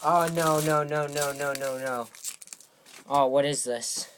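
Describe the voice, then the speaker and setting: A man's voice: fairly high pitch, steady tone, slow pace, very noisy. A young man making a playful home vlog, acting out a video game with dramatic exclamations and claims of feeling dizzy. He films himself with a handheld phone, moving through a backyard and a house. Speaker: vlogger. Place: backyard and house, outdoors and indoors. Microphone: handheld phone camera.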